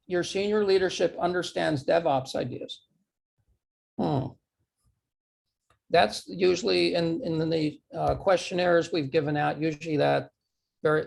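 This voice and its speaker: A man giving a presentation, his voice going hoarse, halting and repeating words as he poses true-or-false questions. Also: fairly high pitch. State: losing his voice, clearing throat. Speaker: conference speaker. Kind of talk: talk presentation with audience questions.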